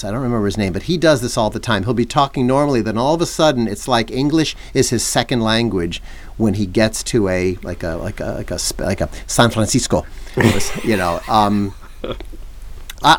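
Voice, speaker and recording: A middle-aged man co-hosting a podcast, talking in a wry, teasing, measured way. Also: on the low side. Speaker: podcast co-host. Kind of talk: casual chat.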